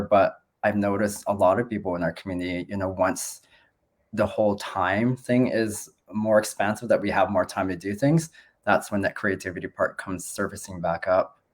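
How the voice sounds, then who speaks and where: A man from the Philippines in his forties speaks on a group video call in a low voice. He is friendly and conversational and pauses with frequent ums. He talks as a host, introducing himself to the group. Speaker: nurse and business owner, call host.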